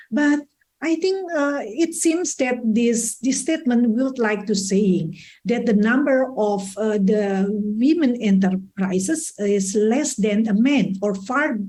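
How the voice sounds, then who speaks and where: A woman from Indonesia with an Indonesian accent, speaking as a panelist in a halting conference presentation with non-native English phrasing. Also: on the high side, mellow. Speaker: conference panel speaker, social economy advocate.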